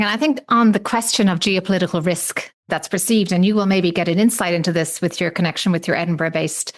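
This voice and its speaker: Thoughtful woman host asking questions. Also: fairly low pitch. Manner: thoughtful, long winding questions with hedges. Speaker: podcast host. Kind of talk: interviewing.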